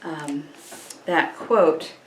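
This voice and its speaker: A woman leading a children's church lesson, reflecting gently and ponderingly on a story, with pauses mid-phrase. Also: deep voice. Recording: echoey room with faint background noise.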